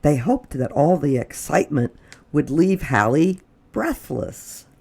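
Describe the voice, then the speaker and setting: A woman reading a children's picture book aloud as a storytime reader, with expressive delivery, dramatic pauses and drops to a whisper. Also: low voice, creaky.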